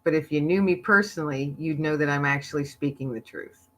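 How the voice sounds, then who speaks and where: A woman's voice: low voice, fairly quick. A middle-aged woman, a podcaster and author, chatting as a guest and telling stories animatedly with frequent laughter. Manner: animated storyteller, laughs often. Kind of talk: casual interview chat.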